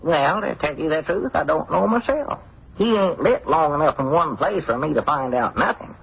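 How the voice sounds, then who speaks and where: Older rustic storekeeper, rural Southern accent, very raspy, somewhat high voice, folksy rambling, 1940s radio comedy. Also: male voice, expressive, moderate pace, heavily acted. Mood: mildly exasperated. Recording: dull, muffled 1940s radio recording.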